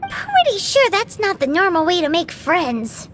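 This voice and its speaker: A woman voicing a tiny, excitable fairy companion in a video game, with a squeaky childlike voice and a blurting, exclamatory manner. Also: measured pace, a little hiss or hum, heavily acted. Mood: exasperated. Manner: exclamatory, blurting, refers to self by name. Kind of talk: animated video game character acting.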